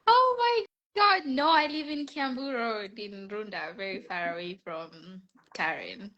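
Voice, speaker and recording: A woman's voice: medium pitch, expressive, measured pace, bright, forceful tone, dull audio, a little hiss or hum. A woman guest chatting casually and expressively, with a jokingly protesting way of talking. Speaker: panel guest. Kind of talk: casual chat.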